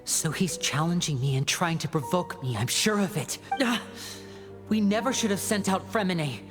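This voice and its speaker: A woman playing a guarded, analytical and protective young male team leader in a video game, speaking in a measured, serious way. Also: lowish voice, natural voice. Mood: strained, determined. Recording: game dialogue over clear music.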